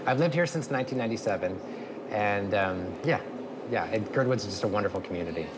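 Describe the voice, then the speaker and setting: A man's voice: on the low side, expressive, a little fast. A man in his forties answering casually in an interview as a small business owner. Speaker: coffee shop owner being interviewed. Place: small room indoors. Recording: loud background noise.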